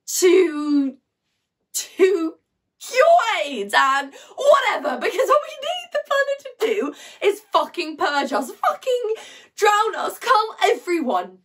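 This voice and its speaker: Woman acting an anxious, intense woman, high-voiced. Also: recorded from a distance, exaggerated voice. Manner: agitated, intense, swearing, halting. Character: anxious, ranting, educated young woman debating motherhood. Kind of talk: dramatic stage monologue.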